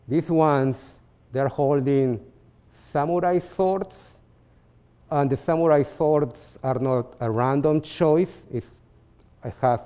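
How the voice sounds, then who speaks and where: Peruvian-accented man, dark mellow voice. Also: medium pitch. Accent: Peruvian Spanish. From Peru. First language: Spanish. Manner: halting, many fillers and restarts, non-native phrasing. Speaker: visiting artist giving a seminar talk. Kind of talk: lecture presenting his artwork.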